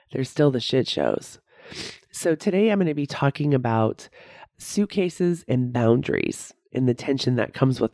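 A woman's voice: a bass voice, dark tone, no echo, clean studio sound. A woman of about fifty with a head cold, hosting a solo podcast as a coach and talking conversationally, often tagging sentences with "right?". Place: recording studio.

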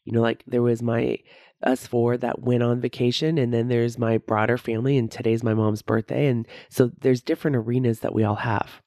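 A woman of about fifty hosts her own podcast from a studio, speaking in a dark, mellow tone. She has a head cold and talks conversationally, often tossing in "right?" as she coaches her listeners. The sound is close and dry with no room around it. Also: rumbling low, level tone, brisk, no room sound. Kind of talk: solo podcast monologue. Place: recording studio.

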